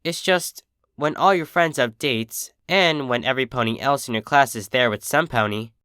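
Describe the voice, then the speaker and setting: A man's voice: mid-range voice, normal speed, bright, forceful tone, clear, resonant tone, no room sound, studio quality, natural voice. A man voicing a young female pony, an insecure and adoring filly with an earnest, childlike, pleading manner. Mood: lonely.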